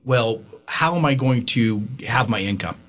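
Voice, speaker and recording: A man hosting a radio talk show with an energetic, folksy and jokey manner. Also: medium pitch, a little slow. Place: broadcast studio.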